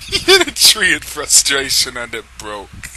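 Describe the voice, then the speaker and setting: A man's voice: mid-range voice, wildly swooping pitch, measured pace, bright, forceful tone, low-quality recording. A man giving a dramatic reading of amateur fan fiction, amused and often struggling to keep from laughing. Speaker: reader of a comedic fan fiction read-aloud.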